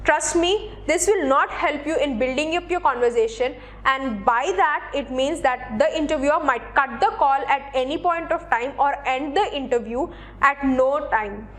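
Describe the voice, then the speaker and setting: A woman's voice: high voice, moderate pace, slight background noise. A woman presenting instructional advice in a didactic way, speaking directly to the listener in a semi-scripted style. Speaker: presenter of interview tips for job seekers.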